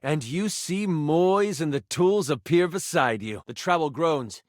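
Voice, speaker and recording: Eager game master for a video game: high, very animated, dramatic fantasy narration, dry studio sound. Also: male voice, measured pace, no echo. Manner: dramatic, expressive storytelling. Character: animated game-master character, eager storyteller, a living die.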